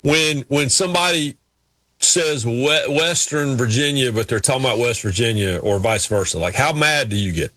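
Male co-host, bright dry voice, rambling self-deprecating storyteller. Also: medium pitch, moderate pace, no echo. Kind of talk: casual sports talk.

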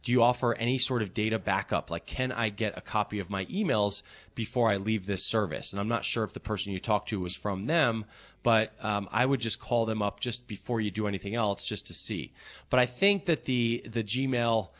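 A middle-aged man hosting a call-in radio show on technology. His voice sits fairly low, and he talks callers through settings patiently and conversationally. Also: normal speed, fairly bright tone. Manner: friendly, explanatory, walks through steps. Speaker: radio tech show host.

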